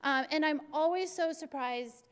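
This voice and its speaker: Middle-aged city recreation chief, a woman from Arizona with a very high, bright voice, passionate and jokey, in a big reverberant hall. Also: normal speed. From Arizona, USA. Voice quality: very high-pitched voice. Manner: energetic, passionate and joking. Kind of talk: civic presentation to a class.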